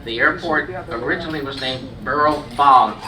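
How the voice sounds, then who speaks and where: A man's voice: medium pitch, moderate pace. Playful man announcing a trivia winner. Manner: playful showman building suspense. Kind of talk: announcing a prize at a gala. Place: large banquet hall. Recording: echoey hall, far-off mic, crowd noise.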